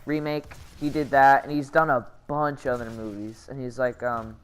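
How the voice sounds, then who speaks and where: A man's voice: mid-range voice, little pitch movement, moderate pace, smooth. A man giving casual, rambling commentary as a gaming vlogger, often hesitating and correcting himself in slangy speech.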